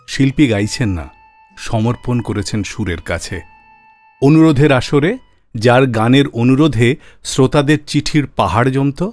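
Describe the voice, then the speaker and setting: A man's voice: mid-range voice, dry recording. A man hosting a radio show, telling scripted stories about classic songs.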